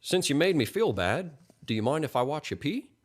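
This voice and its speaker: A man's voice: fairly high pitch, very animated, normal speed, husky, pitched above the performer's own voice. A man voicing a friendly, pushy, folksy middle-aged stranger in an audiobook story, with a funny accent. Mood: chummy, curious. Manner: folksy, chummy, coaxing.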